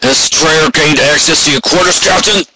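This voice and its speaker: A man's voice: on the high side, a little fast, voice pushed up, lightly acted. Man playing a stern old pony commander, noisy. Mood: commanding. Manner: authoritative, terse. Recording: clearly noisy amateur recording with clicks.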